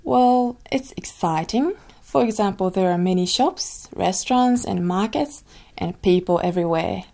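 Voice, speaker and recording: A woman's voice: medium pitch, animated, a little slow, vocal fry, warm tone. A young woman from Japan answering exam questions in simple, careful English with small slips. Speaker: test candidate. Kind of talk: mock speaking exam answers.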